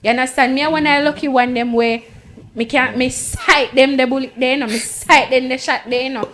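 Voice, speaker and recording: A high-pitched woman in her late twenties, arguing her side in a relaxed group debate. She talks emphatically, circles back to repeat herself, and tells her point as a story. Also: a little fast, a little hiss or hum. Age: late 20s. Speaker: panel guest.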